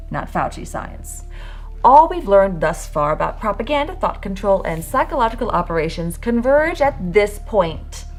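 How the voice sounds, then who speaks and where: A woman hosting a podcast, delivering a scripted dramatic monologue in a theatrical, sardonic, emphatic manner. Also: mid-range voice. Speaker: podcast host. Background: music bed.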